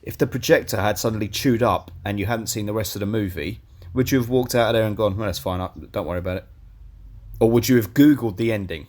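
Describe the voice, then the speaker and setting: Casual young guy, low voice, swears a lot, slightly hoarse. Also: fast talker, a little mellow. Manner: blunt, teasing, swears freely. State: losing his voice. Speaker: podcast co-host. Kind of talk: casual film review chat.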